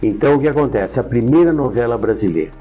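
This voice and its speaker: Older male, Brazilian accent, mellow, conversational storyteller with emphatic repeats; slightly dull sound, music underneath. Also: medium pitch, expressive, fast, slightly rough. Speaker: interview guest.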